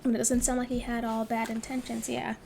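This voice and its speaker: A woman's voice: on the high side, little pitch movement, a little fast, vocal fry, a little mellow, a little hiss or hum. A woman game streamer giving casual, chatty reactions as she plays.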